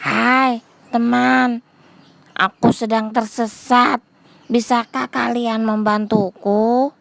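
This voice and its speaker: A woman's voice: fairly high pitch, little pitch movement, slow, vocal fry. A woman voicing a young wolf in a children's fable, a polite lost stranger asking for help, on a noisy recording. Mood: pleading, friendly.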